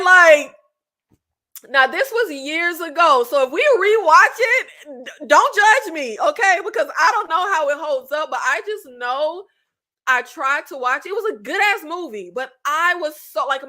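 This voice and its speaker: A woman in her late thirties hosts her own channel and talks straight to her viewers in a loose, chatty way. Her voice sits high and sounds bright and sharp. She runs on in slangy asides and laughs often. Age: 30s. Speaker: channel host. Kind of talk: casual chat to viewers.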